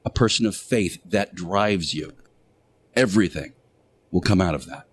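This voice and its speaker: A man with a fairly low voice delivers a radio monologue in the manner of a preacher. He speaks deliberately in short, emphatic phrases, pausing between them and repeating key words for weight. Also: lively intonation, husky. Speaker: radio show host playing Jesus in interactive radio theater.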